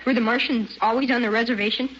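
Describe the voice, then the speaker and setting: A brash, curious young boy played by a woman talks bluntly and defiantly in a high voice. The old radio drama recording is muffled and noisy. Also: fairly even intonation, quick pace, fairly bright tone, natural voice. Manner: plain, blunt childish talk.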